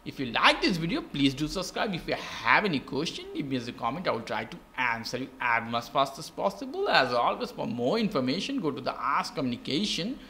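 A man's voice: on the high side, sing-song, a little fast, hardly any pauses, bright, forceful tone, a little hiss or hum. A man hosting a step-by-step tech tutorial in simple, repetitive, non-native English phrasing. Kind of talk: step-by-step how-to narration. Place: indoors at a desk with a laptop.

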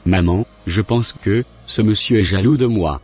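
A wayward young cartoon son voiced by a man talks earnestly and deliberately. The voice is fairly low and husky, with soft music underneath. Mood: pleading. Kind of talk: scripted cartoon dialogue.

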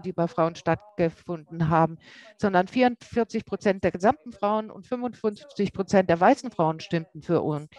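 A woman interpreting a lecture live, speaking haltingly and often correcting herself mid-word. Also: medium pitch, a little mellow, no room sound. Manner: halting, with frequent self-corrections. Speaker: simultaneous interpreter.

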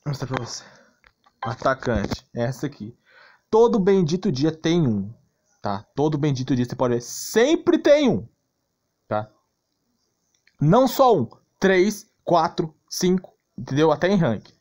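A man's voice: on the high side, big pitch swings, unhurried, pauses often, a little mellow. A Brazilian man giving a casual gameplay tutorial commentary in a blunt, exasperated way, often checking that the listener follows. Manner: blunt, exasperated, repeatedly tagging phrases with check-in questions. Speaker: gaming channel host. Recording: background noise, clicking sounds.

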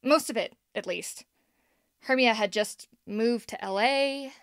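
An actress playing a young podcaster and scriptwriter, earnest and unsure of herself, talking in a conversational, hesitant way in a naturalistic audio drama. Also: female voice, a little high, takes it slowly, a bit bright, smooth, no room sound, studio quality. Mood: wistful, vulnerable. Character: Helena, young woman podcaster and scriptwriter, self-doubting, earnest.

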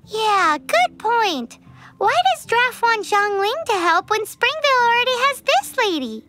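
A woman voicing a tiny, excitable fairy companion in a video game, with a squeaky childlike voice. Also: a little slow, slight background noise, heavily acted. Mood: curious. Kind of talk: English video game voice acting.

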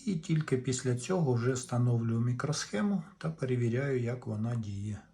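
A man's voice: mid-range voice, expressive, moderate pace, creaky, mellow, slight background noise. A man giving unscripted, step-by-step repair instructions in short, methodical phrases. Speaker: repair tutorial host.

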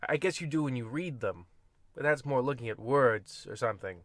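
A man's voice: medium pitch, expressive, brisk, no echo, natural voice. Mock-ominous horror anthology narrator, male actor, wry and wordy, mid-range, dry sound. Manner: droll, mock-ominous, rambling. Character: wry, macabre male host of horror radio tales. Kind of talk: old-time radio anthology narration.

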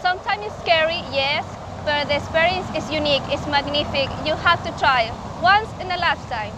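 A high-voiced woman with a Chilean Spanish accent, a Spanish speaker talking in simple, halting English. She sounds friendly as she speaks outdoors in a city square. Clear street noise runs behind her. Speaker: interviewed solo traveler.

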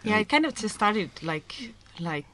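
A woman's voice: mid-range voice, very animated, measured pace, a crowd in the background, rough recording. A Finnish woman, a violinist guest on a radio show, speaking English casually and often saying like. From Finland. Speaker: musician guest. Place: small radio studio.

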